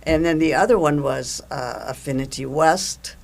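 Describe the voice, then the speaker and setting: An older woman who coordinates events for a theater group, talking casually and haltingly in an interview. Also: deep voice, gravelly, darkish tone, a little hiss or hum.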